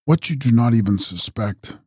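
A man voicing a mysterious, ageless male count who makes grave, measured prophecies in an audiobook. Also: medium pitch, expressive, darkish tone, slightly rough, no room sound, clean studio sound. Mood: foreboding. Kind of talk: audiobook character reading.

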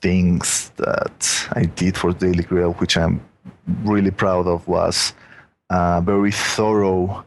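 A man with a Mexican accent chats as a podcast guest, rambling and leaning on "you know." His voice is very low and gravelly. He talks slowly and unhurriedly. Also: little pitch movement. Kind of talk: casual podcast chat.

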